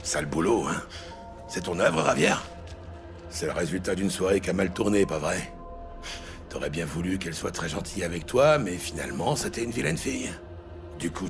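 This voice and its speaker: Casting: relentless interrogating detective, low voice with widely swinging intonation, audio series, music underneath. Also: male voice, very animated, moderate pace, gravelly, heavily acted. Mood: menacing, pressing. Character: tough, pressing New York cop, blunt and relentless. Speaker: police detective interrogating suspects. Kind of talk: dramatic audio series acting.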